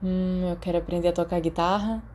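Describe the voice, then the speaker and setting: A woman as a carefree, mellow teenage wizard boy. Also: sits high, flat delivery, brisk, lightly acted. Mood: dreamy, eager. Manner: breezy, casual, dismissive of worries. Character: teenage wizard boy, carefree, dreamy, mischievous best friend.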